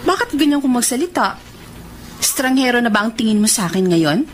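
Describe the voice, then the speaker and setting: A friendly, teasing woman in a radio drama. She is chatty and solicitous, with a fairly high, very lively voice over faint ambient noise. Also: brisk. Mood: puzzled.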